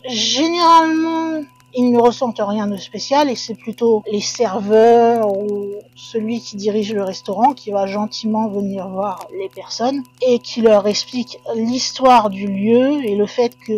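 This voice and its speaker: A woman narrates a ghost legend for her channel in a chatty, easygoing way, often turning aside to talk to her viewers. Her voice sits fairly high and sounds husky, and the recording is dull and lo-fi. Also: steady tone, a little slow, a little mellow, soft background music. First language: French. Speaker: channel host. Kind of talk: casual storytelling narration.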